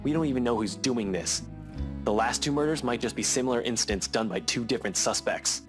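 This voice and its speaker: A man's voice: mid-range voice, a little fast, close to an everyday voice. An actor playing a stern, protective young man in a visual novel, with a blunt, dry delivery. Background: background music.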